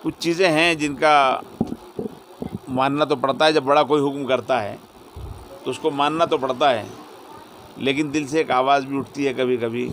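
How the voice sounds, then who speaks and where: A male cleric answering in an interview, speaking reflectively in short phrases with pauses. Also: mid-range voice, steady tone, a bit bright, low-quality recording. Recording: faint vehicle and ambient noise.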